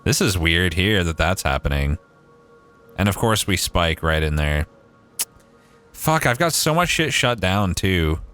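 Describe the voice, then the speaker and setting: Canadian streamer, deep and animated, chatty and profane. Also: male voice, a little slow, long pauses, smooth, faint music behind. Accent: Canadian, Maritime. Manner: chatty, swears casually, thinks aloud while playing. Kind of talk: casual gameplay livestream chat.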